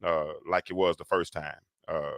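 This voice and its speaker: A man's voice: low-pitched, fairly even intonation, moderate pace, fairly bright tone, smooth, dry recording, studio quality. A man hosting a casual live talk, rambling with lots of fillers and restarts. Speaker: host.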